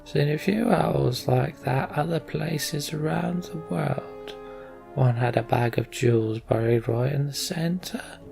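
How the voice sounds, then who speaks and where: A wheezy old giant from a fantasy game, pitched up and full of gravel. He is blunt and wry, and he drags his words out slowly. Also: over music, full character voice. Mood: grim, knowing. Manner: slow, drawn-out delivery.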